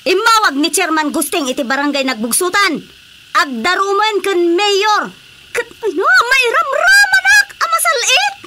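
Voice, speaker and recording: A woman with an Ilocano accent playing an excitable, bossy village captain in a radio comedy drama. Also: very high voice, unhurried, noisy background. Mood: furious scolding. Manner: dramatic, exclaiming, scolding.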